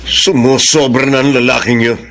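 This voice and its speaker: A man's voice: medium pitch, fairly even intonation, normal speed, fairly bright tone, natural voice. A man acting a stern, hardworking husband and protective eldest brother in a Filipino radio drama, with a firm, scolding manner. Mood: angry.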